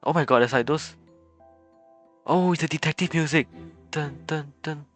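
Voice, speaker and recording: A man's voice: fairly high pitch, moderate pace. Stammering man streaming a video game. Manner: stammering, repeats words, giggly. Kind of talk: live gameplay commentary. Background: video game music.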